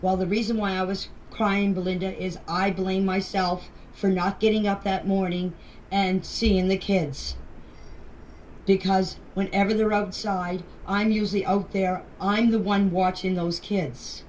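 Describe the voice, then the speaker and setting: A woman's voice: mid-range voice, little pitch movement, unhurried, darkish tone. An older woman from Canada gives an earnest, defensive personal account in a voice message, insisting on her points and repeating them. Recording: distant, noisy phone voice message.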